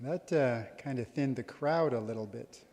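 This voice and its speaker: A man's voice: mid-range voice, normal speed, darkish tone. A man emceeing a college convocation, coaxing people back to their seats with light laughs. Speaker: event emcee. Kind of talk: emcee announcements. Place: large hall. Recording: long hall reverb.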